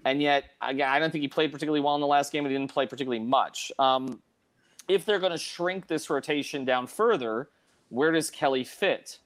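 A man's voice: a little high, a bit bright. A man hosting an unscripted sports panel, often repeating words as he works toward his point. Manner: halting, repeats words as he thinks. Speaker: host of a sports panel show.

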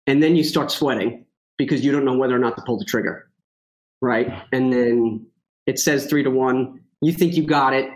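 A man's voice: mid-range voice, normal speed, poor audio. A man speaking as a podcast guest in a casual chat, rambling with many fillers and joking at himself. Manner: rambling, lots of fillers and restarts, self-joking.

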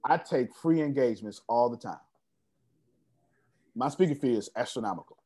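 A man's voice: mid-range voice, animated, normal speed, warm tone. A man coaching a group on a video call, boastful and preachy, calling on people by name. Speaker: business coach hosting an online meeting. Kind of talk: animated motivational group call.

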